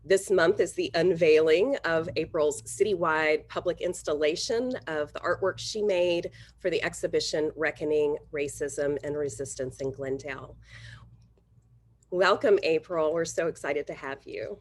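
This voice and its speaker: A woman's voice: low voice, normal speed. A woman hosting an event, welcoming the audience and introducing a speaker with formal thanks. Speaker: host, academy director and teacher.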